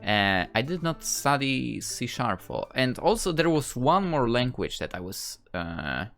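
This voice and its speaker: A man's voice: mid-range voice, very animated, a little slow, creaky, fairly bright tone, soft background music. A man giving casual let's play commentary, rambling and thinking aloud. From Poland. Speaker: gaming YouTuber.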